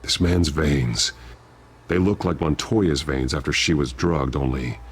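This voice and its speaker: An extremely low, gravelly voice of a grim masked vigilante in a video game. He speaks in terse, deliberate phrases with stern menace. Ambient noise sits behind the voice. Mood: grim, focused. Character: grim masked vigilante detective, adult man.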